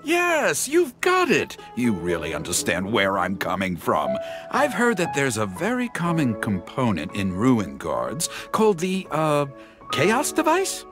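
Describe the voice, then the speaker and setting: A male actor voicing an excitable, flamboyant storyteller in a video game, given to grandiose, dramatic flourishes. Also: medium pitch, a little slow. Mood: eager, coaxing. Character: excitable theatrical male storyteller gathering heroic material. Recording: music under the voice.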